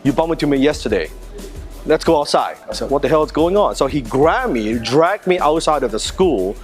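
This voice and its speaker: A man's voice: a little high, expressive, a little fast, soft background music. A man teaching a martial arts lesson, telling a personal story and coaching a drill in an earnest, conversational way with frequent restarts. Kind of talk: teaching a self-defense lesson.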